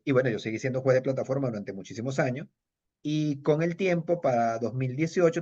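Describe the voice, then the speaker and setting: A middle-aged Venezuelan man speaking Spanish with a Venezuelan accent, an interviewee recalling his past at a brisk clip. He rambles, circling back with fillers and restarts as he goes. The audio is dull and lo-fi, as if from a video call. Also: mid-range voice, darkish tone. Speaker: kung fu master and judge, interviewee. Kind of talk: casual interview storytelling.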